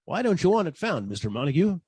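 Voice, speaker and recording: An actor playing a wisecracking, unflappable private detective in an old-time radio drama, with a husky voice and a wry, brisk manner. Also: male voice, on the high side, sing-song, a little mellow, dry recording. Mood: cool, dry.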